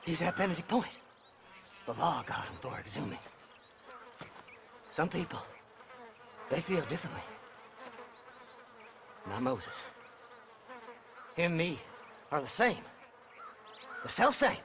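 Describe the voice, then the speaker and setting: An actor playing a half-mad, paranoid American treasure hunter in a video game, rambling erratically and obsessively. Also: male voice, fairly high pitch, sing-song, takes it slowly, gravelly, pauses often, background noise, exaggerated voice. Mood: brooding. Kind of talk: video game cutscene acting.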